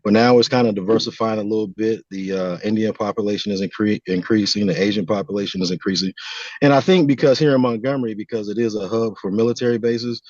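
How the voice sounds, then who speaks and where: A man's voice: on the low side, fast talker, darkish tone, no room sound, dull audio. An American man from California giving earnest, explanatory answers as a guest in a conversational interview. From California, United States. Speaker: podcast guest.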